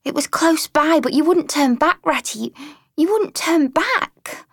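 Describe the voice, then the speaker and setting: A small, tearful mole with a high, slow, halting voice, put on by a woman reading the story. She stammers between sobs and pleads timidly. The sound is close and dry, with no room around it. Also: expressive, no room sound. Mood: grieving. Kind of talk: storybook character voice.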